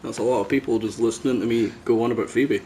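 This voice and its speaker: A man's voice: medium pitch, steady tone, quick pace, crowd noise. A man hosting a podcast in casual gaming banter, speaking bluntly with heavy swearing. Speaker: podcast host. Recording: clear noise, dog breathing nearby.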